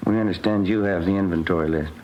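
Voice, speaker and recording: Actor as low-voiced, laconic radio police detective. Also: male voice, fast talker, natural voice. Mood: matter-of-fact. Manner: dry, laconic, questioning. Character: plainspoken, skeptical male police detective, the sergeant's partner. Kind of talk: 1950s radio drama acting. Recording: dull old radio recording, faint noise.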